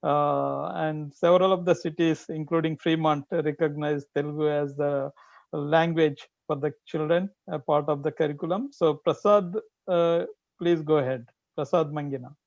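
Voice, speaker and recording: A man's voice: on the high side, fairly even intonation, moderate pace, gravelly, dry recording. Hesitant man hosting a call. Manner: hesitant, many fillers. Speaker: event host. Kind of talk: hosting an online event. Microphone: video call. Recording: dull, dry video call audio.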